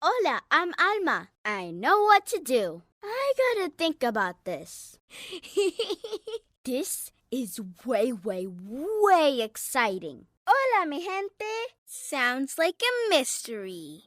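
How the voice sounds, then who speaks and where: High, expressive, bubbly young girl. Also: slow, dry recording, studio quality, exaggerated voice. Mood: bubbly, curious. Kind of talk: children's cartoon and game voice lines.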